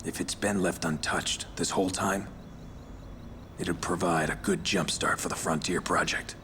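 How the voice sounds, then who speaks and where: Casting: level-headed squad strategist in a game, very deep, measured. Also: male voice, steady tone, fairly bright tone, a little hiss or hum. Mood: calm, explaining. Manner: measured, explanatory. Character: steady, level-headed male strategist on a military team. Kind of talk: video game cutscene acting.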